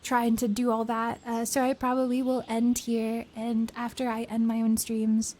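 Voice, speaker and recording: A young woman whose voice is worn out and hoarse after a long reading session. She talks casually to her stream, rambling with fillers and little giggles. Her voice sits a bit high. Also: monotone, normal speed, mellow. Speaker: streamer reading a book aloud. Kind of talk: casual stream chat after a read-aloud.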